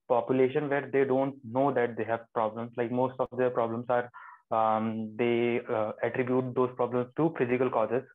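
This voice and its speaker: A young man, an undergraduate earnestly introducing himself in an online class, halting now and then. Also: medium pitch. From India. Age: young adult. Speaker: undergraduate student. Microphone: video call. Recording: tinny phone-like video call audio.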